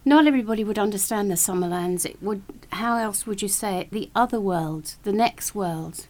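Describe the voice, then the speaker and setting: Female podcast host, medium pitch, inquisitive and conversational. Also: normal speed, darkish tone. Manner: inquisitive, brief affirmations.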